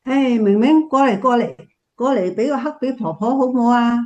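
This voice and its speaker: A woman playing an affectionate, reflective grandmother in a Cantonese radio drama, speaking gently. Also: a little high, a little fast, natural voice.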